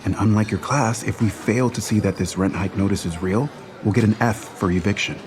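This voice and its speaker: Low-voiced argumentative young man, played by an actor. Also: brisk, crowd noise, close to an everyday voice. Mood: worried, argumentative. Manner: bickering, insistent. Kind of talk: naturalistic audio drama acting.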